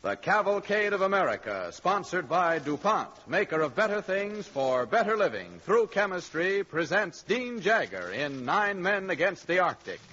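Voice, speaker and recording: Animated 1940s male radio announcer. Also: high-pitched, normal speed, hardly any pauses. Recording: dull 1940s radio recording.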